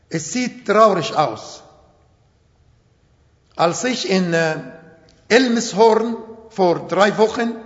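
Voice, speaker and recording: A middle-aged Arabic-accented preacher with a fairly high voice gives a slow, emphatic sermon in imperfect German. The sound is dull and carries a long hall reverb, as in a church hall. He is a native Arabic speaker.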